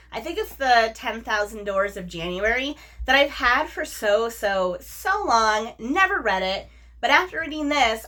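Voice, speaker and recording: A woman with a fairly high, very bright voice hosts a casual review vlog. She speaks informally and effusively, leaning hard on key words and dropping in slangy asides. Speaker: book review vlogger, host. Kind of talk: casual book chat to camera.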